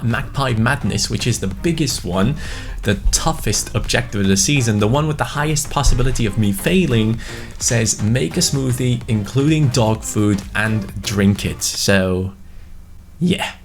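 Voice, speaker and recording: A young man giving casual, excitable commentary on a football video game, swinging into panicked cries when things go wrong. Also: medium pitch. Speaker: gaming YouTuber.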